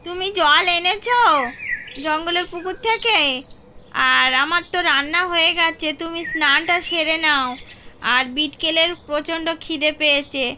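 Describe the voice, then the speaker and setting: Casting: protective, bossy cartoon village mom played by a woman, high forceful voice, firm and cautioning. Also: normal speed, slight background noise, full character voice. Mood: caring, businesslike. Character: strict, protective village mother, warning and bossy. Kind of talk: cartoon horror story dialogue.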